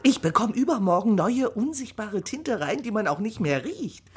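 A woman's voice: mid-range voice, very animated, moderate pace, darkish tone, husky, faint music behind. An actress in a children's radio play playing a cheerful, motherly joke-shop lady who talks to children in a coaxing sing-song.

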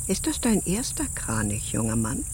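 A woman's voice: a bass voice, wildly swooping pitch, normal speed, darkish tone, a little hiss or hum, exaggerated voice. An actress playing an affectionate elderly woman in a German children's radio play. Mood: gently instructive. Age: older. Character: kindly elderly woman with failing eyes, affectionate.

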